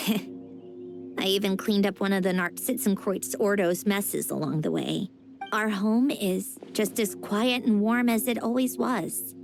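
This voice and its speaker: An actress playing a proud, guarded young female scholar in a video game, with a measured and reserved manner that is easily flustered. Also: mid-range voice, lightly acted.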